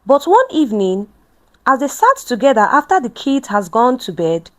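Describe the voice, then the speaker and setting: Female narrator for scripted family drama, higher register, lively intonation, measured delivery. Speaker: storyteller.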